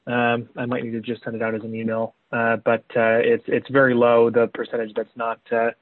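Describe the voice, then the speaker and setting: A man's voice: medium pitch, monotone, fairly quick, no room sound, narrow, tinny audio. A man reporting figures in a business meeting, hesitant and filled with uhs and ums. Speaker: team member reporting figures.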